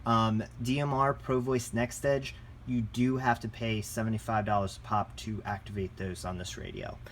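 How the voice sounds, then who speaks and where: A man's voice: lowish voice, fairly even intonation, moderate pace, a little hiss or hum. A man giving a casual, unscripted product review as a shop presenter, in a conversational, enthusiast way.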